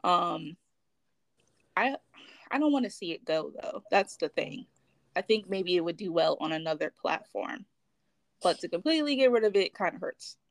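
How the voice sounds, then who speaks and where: A woman's voice: fairly low pitch, expressive, normal speed, creaky, long pauses, no echo. A woman co-hosting a casual talk podcast, sounding exasperated and often trailing off. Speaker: podcast co-host. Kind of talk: casual chat.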